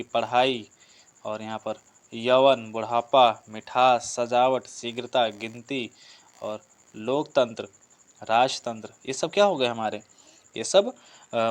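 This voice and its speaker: A man's voice: medium pitch, clean tone. A man teaching a grammar lesson, explaining step by step with repetition, listed examples and rhetorical questions. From India. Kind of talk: online exam-prep grammar lesson.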